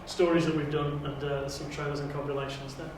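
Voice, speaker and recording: A man's voice: fairly high pitch, flat delivery, fast talker. A man talking casually at an audition, as an auditioning amateur film maker. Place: echoey indoor university room. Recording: very echoey room, distant mic, faint noise.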